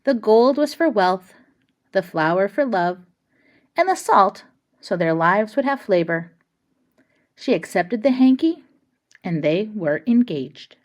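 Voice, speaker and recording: A woman reads a children's picture book aloud at a middle pitch. She phrases each line deliberately and with expression, in the style of a storybook read-aloud. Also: very animated.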